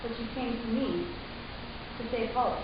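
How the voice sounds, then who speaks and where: A woman playing a possessive, commanding female alien mother in a dramatic reading, with measured delivery, recorded far off in an echoey room. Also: on the high side, lo-fi sound, very noisy, natural voice. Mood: reproachful. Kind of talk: dramatic reading of a literary story.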